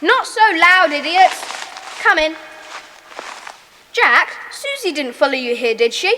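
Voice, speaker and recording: A woman playing a bossy young schoolboy club leader in a children's audio drama, brisk and commanding. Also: high voice, big pitch swings, sharp tone, a little hiss or hum, heavily acted. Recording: long hall-like reverb on voice.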